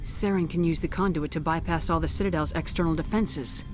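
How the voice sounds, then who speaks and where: An actress playing a determined military commander in a video game, speaking in a direct, firm and clipped way. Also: female voice, deep voice, fairly even intonation, fairly quick, music in the background, lightly acted. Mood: focused, questioning. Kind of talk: video game dialogue acting.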